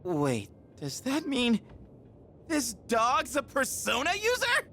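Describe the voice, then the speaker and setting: Game casting: wry, incredulous teen, highish and hugely expressive, music behind. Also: female voice, slow, full character voice. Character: sceptical, wry teenager.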